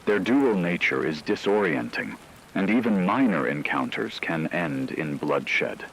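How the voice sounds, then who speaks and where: A man reading a scripted emergency radio broadcast in a solemn, ominous, measured way. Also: low-pitched, sing-song, gravelly, a bit bright, a little hiss or hum, poor audio. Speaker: radio announcer.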